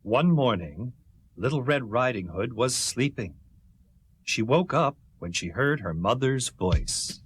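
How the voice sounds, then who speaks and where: A man with a husky voice narrating a scripted children's story in a rhythmic way. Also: mid-range voice, expressive, takes it slowly, dry recording. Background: faint music.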